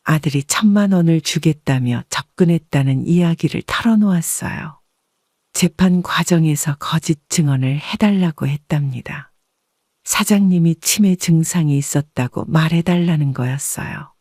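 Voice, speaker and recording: A woman reading a first-person story as an audiobook narrator in a measured, composed way. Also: deep voice, darkish tone, no echo, studio quality.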